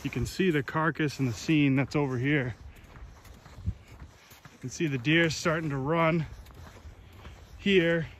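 Young man vlogging outdoors on ice, lively and a bit high, faint background noise. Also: takes it slowly, pauses often. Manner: excited, talks to viewers as folks. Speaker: fishing vlogger. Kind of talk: casual outdoor commentary. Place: outdoors on a frozen lake.

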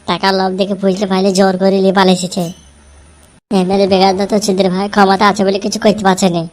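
Flat, plaintive cartoon husband, voiced by a woman. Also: mid-range voice, fairly quick, natural voice. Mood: sorrowful. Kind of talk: comedy cartoon character dialogue. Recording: music behind the voice.

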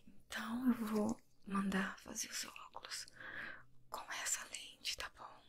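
A young Brazilian woman whispers soothingly in an ASMR roleplay as an optician, breathy and close to the microphone. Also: very deep voice, huge pitch swings, unhurried, slight background noise. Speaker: ASMR creator playing an optician. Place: small indoor room. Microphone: close desktop binaural microphone with foam cover.

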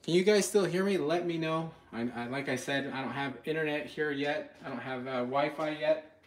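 A man's voice: on the high side, moderate pace, a little hiss or hum. A man giving casual live product commentary in a home kitchen, speaking informally and a bit hesitantly straight to viewers. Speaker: product reviewer, channel host.

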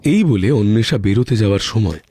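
A man's voice: on the low side, lively intonation, brisk, very noisy. A man narrating a scripted cartoon story as a storyteller. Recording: prominent music under the voice.

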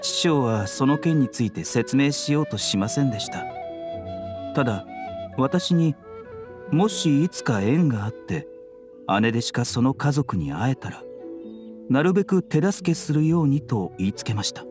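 An actor playing a composed, courteous young male physician in an anime game, polite, measured and gently enigmatic. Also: medium pitch, animated, music in the background, close to an everyday voice. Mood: earnest, composed. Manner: polite, measured, softly teasing.

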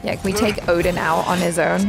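A woman's voice: on the low side, steady tone, a little fast, creaky, loud music behind the voice. A woman hosting a reaction video, chatting casually about what she is watching.

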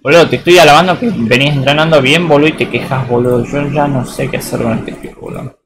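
A man's voice: mid-range voice, fast. Man, Argentine accent, casual stream chatter, rambling, faint noise. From Tucumán, Argentina. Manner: rambling, slangy, says boludo often. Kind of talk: casual live-stream chat while playing.

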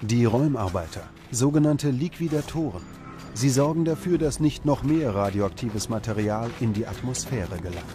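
A man reading scripted documentary narration in a measured, serious way. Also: medium pitch, expressive. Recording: music under the narration. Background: background music.

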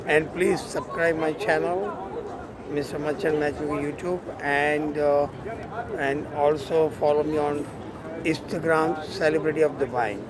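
A middle-aged man from India with an Indian accent, talking in halting, repeated short phrases for a casual outdoor vlog on a phone. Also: fairly high pitch, fairly even intonation, crowd noise. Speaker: vlogger and matchmaker. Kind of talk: casual selfie travel vlog. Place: outdoors in an open city square. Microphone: phone at arm's length. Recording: loud outdoor city square noise. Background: urban ambience and vehicles.

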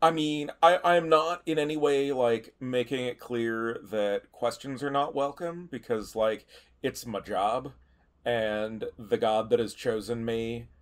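A man playing a tabletop game, improvising casually in a dry, halting way. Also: medium pitch.

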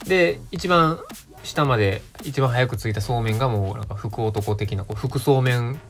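A man's voice: medium pitch, normal speed, faint music behind. A man around thirty with a Kansai accent, hosting a casual radio show as a solo monologue.

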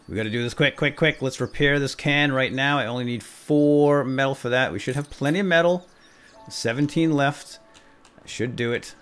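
A man hosting a video game let's play, jokey and playful, chatting to viewers and to himself as he plays. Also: lowish voice. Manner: animated, jokey, talks to viewers and himself, exclaims. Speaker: host of a gaming let's play series.

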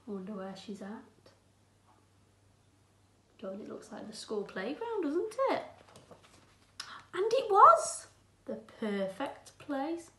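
A woman's voice: on the high side, pushed and bright. A woman, reading a picture book aloud to young children as an infant teacher, expressively and with encouraging asides. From England. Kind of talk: children's storybook read-aloud. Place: small room at home, indoors. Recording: roomy, echoey indoor sound.

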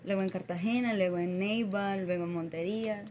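A woman in her twenties from Ecuador, raised in Colombia, a guest chatting quietly and playfully. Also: mid-range voice, fairly even intonation, fairly quick, slight background noise. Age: 20s. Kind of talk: casual chat.

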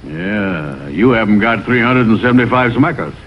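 A man's voice: lowish voice, animated, normal speed, a little hiss or hum, close to an everyday voice. A man voicing a doubtful, plain-spoken animal sidekick with dry wisecracks in a vintage cartoon. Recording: muffled old TV cartoon, loud music behind.

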